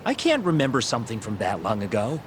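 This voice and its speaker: A man's voice: mid-range voice, wildly swooping pitch, quick pace, slight background noise. An actor playing a brusque, dismissive doorman at an exclusive club in a video game cutscene. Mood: curt, dismissive. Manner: curt, dismissive.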